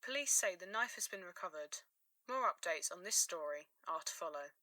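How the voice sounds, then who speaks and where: A formal female news reporter reads a scripted bulletin. Her voice is bright and on the low side, heard distant and dry. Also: expressive, normal speed, no room sound. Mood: formal, neutral.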